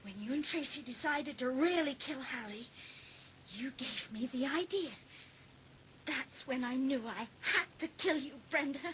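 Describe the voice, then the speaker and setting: A woman's voice: sits high, lively intonation, measured pace, a bit bright, low-quality recording. A woman playing a bitter, vengeful, unhinged teenage girl in a dramatised audiobook, with a melodramatic delivery between hisses and shrieks. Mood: bitter, wounded.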